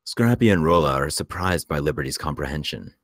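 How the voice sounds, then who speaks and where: Very deep-voiced male script narrator. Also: animated, a little fast, no echo, clean studio sound. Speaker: narrator reading stage directions. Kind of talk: scripted narration at a screenplay table read.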